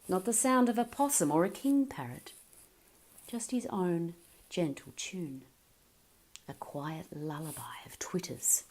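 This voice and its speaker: A woman's voice: on the low side, darkish tone. A woman narrating a children's picture book aloud, telling it expressively and dropping to a whisper for suspense.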